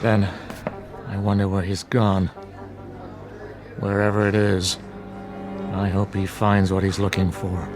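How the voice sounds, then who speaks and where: A businesslike studio boss in a radio play. His voice is on the low side and inquiring, with music clearly playing behind it. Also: completely flat delivery, takes it slowly, pauses often. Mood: pensive. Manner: questioning, businesslike. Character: businesslike man in charge of a studio crew. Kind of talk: radio drama acting.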